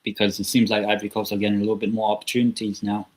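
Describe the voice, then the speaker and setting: A man's voice: lowish voice, completely flat delivery, racing. A man hosting a live football watchalong, giving casual, rambling commentary with chatty asides from a small room at home. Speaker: watchalong host.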